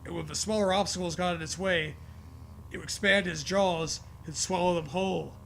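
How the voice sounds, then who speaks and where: A man's voice: high voice, normal speed, vocal fry, a little hiss or hum. A man hosting a dramatic reading of a story, mixed with crude, joking commentary. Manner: profane, jokey, animated reactions.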